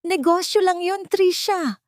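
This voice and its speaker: A woman's voice: extremely high pitch, a little slow, dry recording, studio quality, voice pushed up. A woman voicing a cornered, greedy male executive who pleads and threatens. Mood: shouting.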